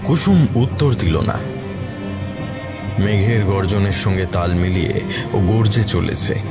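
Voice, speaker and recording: A man's voice: on the low side, expressive, a little slow, loud music behind the voice. A man reading a scripted horror story as its narrator.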